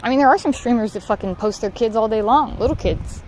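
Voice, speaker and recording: A woman's voice: fairly high pitch, quick pace, darkish tone, a little hiss or hum, rough recording. A woman with a Southern Californian accent, chatting casually and candidly to livestream viewers, swearing now and then. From Southern California, United States. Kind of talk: casual unscripted livestream chat. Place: outdoors while walking.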